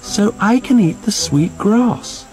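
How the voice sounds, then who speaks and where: A man voicing a nervous middle-sized billy goat in a storybook, pleading softly and persuasively. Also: sits high, animated, slow, soft background music, voice pushed up, poor audio. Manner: soft, quaking, persuasive pleading.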